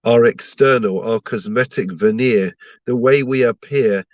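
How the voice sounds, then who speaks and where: A man's voice: mid-range voice, measured pace, a little mellow, no room sound, dull audio. A man giving an online Torah lesson to viewers, sounding stuffed up from a slight cold and teaching through rhetorical questions. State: blocked up with a cold. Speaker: rabbi giving a Torah class.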